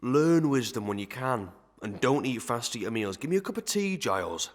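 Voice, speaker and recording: An actor playing a pompous, sly, gluttonous parish clerk who sermonises in archaic proverbs and Latin tags in a melodrama. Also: male voice, medium pitch, very animated, normal speed, lightly acted. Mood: pompous, lecturing. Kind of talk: Victorian melodrama audio acting.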